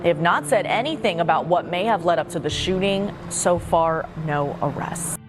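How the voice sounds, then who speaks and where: A woman's voice: fairly low pitch, lively intonation, moderate pace, music in the background. A woman delivering a scripted local TV news report from outdoors on a city street. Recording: noisy street news location sound.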